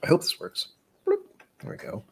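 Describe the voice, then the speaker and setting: A man's voice: moderate pace, a bit bright, a little hiss or hum. A man hosting a whiskey tasting livestream, chatting casually in a jokey, rambling, self-deprecating way. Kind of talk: casual chat.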